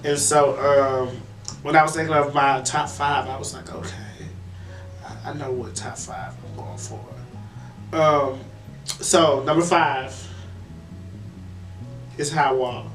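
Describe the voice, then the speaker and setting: A young man interviewing a musician in a relaxed, chatty way with many fillers. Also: medium pitch, slow, long pauses. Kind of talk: casual interview chat. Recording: echoey room, distant mic, faint music.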